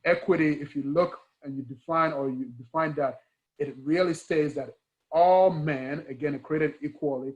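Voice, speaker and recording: A man's voice: a little high, fairly even intonation, normal speed, a little mellow, dull audio. A man from Ghana with a Ghanaian accent, talking earnestly in a conversational interview, stressing points through repetition and rhetorical questions. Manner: emphatic, repeats key words and asks rhetorical questions. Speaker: guest speaker, engineering educator.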